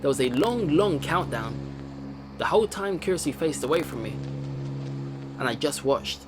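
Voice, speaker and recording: A man reading a visual novel aloud as a let's play host, with expressive dramatic narration and his own commentary. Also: a little high, normal speed, background noise. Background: game music.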